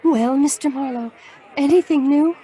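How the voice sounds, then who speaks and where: An actress playing a fragile, lovelorn client in a noir audio drama, speaking in a halting, emotional, pleading way. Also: female voice, high-pitched, mellow, a faint crowd, close to an everyday voice. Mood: hopeful. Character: fragile, lovelorn, sentimental woman client in distress.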